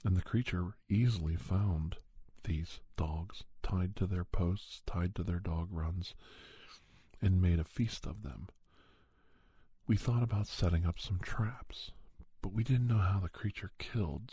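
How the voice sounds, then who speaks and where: A man playing a paranormal investigator who recounts his cases in soft, breathy, halting first-person ASMR narration. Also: deep voice, no room sound, heavily acted. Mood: calm, hushed, matter-of-fact. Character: male paranormal investigator doctor recounting monster cases, unhurried. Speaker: storyteller.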